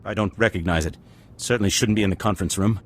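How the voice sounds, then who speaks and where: A male actor plays a deferential lab manager working for villains in a video game. His voice is fairly low and politely businesslike, over faint background noise. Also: fast, close to an everyday voice. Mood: uneasy.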